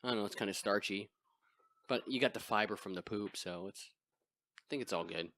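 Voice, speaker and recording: A man with a deep voice hosting a casual podcast chat, crude and self-deprecating. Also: fairly quick, fairly bright tone. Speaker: podcast host. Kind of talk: casual chat over beers.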